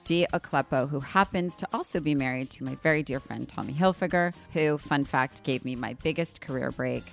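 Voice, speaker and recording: Woman, podcast host, low voice, effusive, chatty, faint music underneath. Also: vocal fry. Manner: effusive, eager, quick to react. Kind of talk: casual interview chat.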